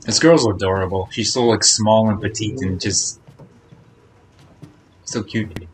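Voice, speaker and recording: Low-voiced man chatting on a game stream. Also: fairly even intonation, measured pace, slight background noise, rough recording. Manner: rambling, chatty. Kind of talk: casual chat with viewers.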